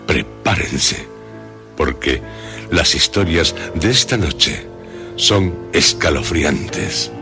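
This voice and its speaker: A man with a Castilian Spanish accent presenting a horror radio show in a solemn, suspenseful scripted narration with dramatic pauses. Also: rumbling low, sing-song. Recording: loud music under the voice. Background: background music.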